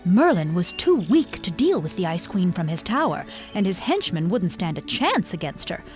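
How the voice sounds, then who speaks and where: A woman's voice: on the low side, very animated, normal speed, faint music behind. A woman narrating a scripted fairy tale in a dramatic storytelling manner.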